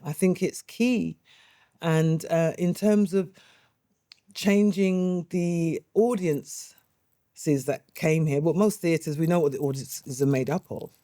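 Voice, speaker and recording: Middle-aged woman, actress-playwright, warm mellow voice, chatty and quick to laugh, dry close recording. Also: fairly low pitch, no echo. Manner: animated, laughing often, chatty. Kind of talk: interview, reminiscing.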